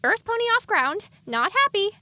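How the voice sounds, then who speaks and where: Woman acting an excitable, high-voiced young pony mare. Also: moderate pace, dry recording, heavily acted. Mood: panicked. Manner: animated inner monologue. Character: young excitable female earth pony, anxious and sassy. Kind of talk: audio drama acting.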